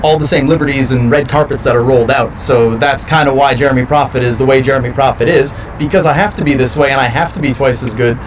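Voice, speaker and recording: A man's voice: medium pitch, fairly even intonation, fast, few pauses, distant mic, noisy background. A male professional wrestler giving confident, boastful interview answers. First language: not French.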